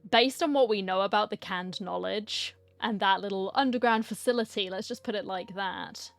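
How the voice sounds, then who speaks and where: A woman's voice: medium pitch, normal speed, no echo, faint music behind. Chatty woman gamer with wry commentary. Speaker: gamer, streamer. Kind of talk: casual playthrough commentary.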